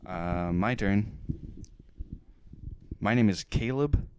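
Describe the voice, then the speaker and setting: A man's voice: lowish voice, slow pace, clean tone, dry recording. A twenty-year-old man chatting on a podcast in a laid-back way, with dry jokes. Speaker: podcast co-host. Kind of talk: casual chat.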